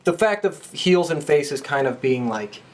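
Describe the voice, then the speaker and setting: A man's voice: on the high side, animated, measured pace, loud music behind the voice. A man leading a casual panel discussion as a podcast host.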